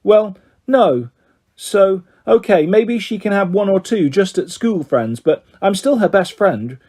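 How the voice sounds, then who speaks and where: A man's voice: high voice, lively intonation, measured pace, dark tone, voice pushed up. A man voicing an emotional young schoolgirl, earnest and plaintive in a children's storybook reading. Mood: defiant, dramatic. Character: emotional, dramatic young schoolgirl telling her best friend she misses her.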